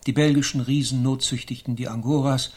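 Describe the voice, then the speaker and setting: An actor playing a dour medieval servant in a radio play, reporting in a stilted, deadpan, archaic manner with a husky voice. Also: male voice, medium pitch, fairly quick, natural voice. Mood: gloomy, deadpan.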